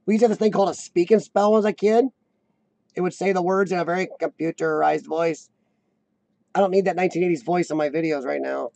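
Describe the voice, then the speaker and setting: A man in his forties hosting a casual live chat, with a high-pitched squeaky voice worn by coughing, who often clears his throat and restarts his sentences. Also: steady tone, fast, darkish tone, no room sound. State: voice worn from lung illness and coughing. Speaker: host of a live chat show. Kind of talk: casual live-stream chat reading viewer comments.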